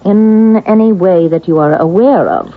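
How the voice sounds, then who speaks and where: An actress playing a guarded, probing woman psychiatrist with a husky voice in a vintage radio drama, measured and clinical. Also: lowish voice, close to an everyday voice. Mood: sceptical, probing. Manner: measured, clinical, hesitant about feelings. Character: guarded, probing female psychiatrist, reserved about feelings. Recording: dull vintage radio broadcast.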